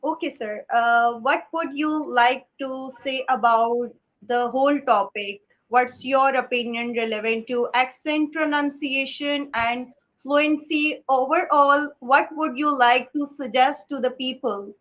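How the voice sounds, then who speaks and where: A woman's voice: sits high, steady tone, unhurried, hardly any pauses, a bit bright, low-quality recording. A woman, hosting a live stream interview over a video call, talking hesitantly with many fillers. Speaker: host.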